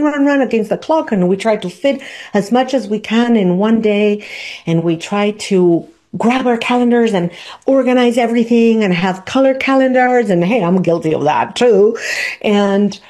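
A woman, hosting a podcast and teaching in an unhurried way, tagging her points with "right?". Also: medium pitch, dark tone. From Argentina. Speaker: podcast host. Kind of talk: reflective teaching talk.

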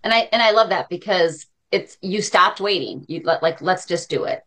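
Low-pitched woman interviewer, enthusiastic, kind of a coach. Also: normal speed, fairly bright tone. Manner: encouraging, enthusiastic, repeats words. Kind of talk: casual interview.

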